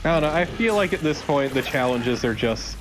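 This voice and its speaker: A man's voice: on the high side, animated, brisk, background noise. A man chatting casually as co-commentator on a video game, dry and opinionated. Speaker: let's play co-commentator. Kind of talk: casual gameplay chat.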